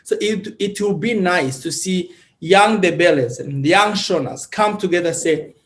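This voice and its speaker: A man from Rwanda interviews a guest in a thoughtful, halting way, with frequent repeated words. His voice is quite high for a man. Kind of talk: interview conversation.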